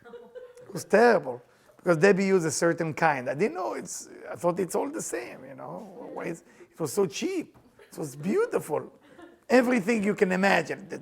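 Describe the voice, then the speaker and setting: A middle-aged man with an Israeli accent, hosting a casual chat and telling personal stories in a playful, animated way, often laughing as he talks. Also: high voice, vocal fry. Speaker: spiritual teacher and podcast host.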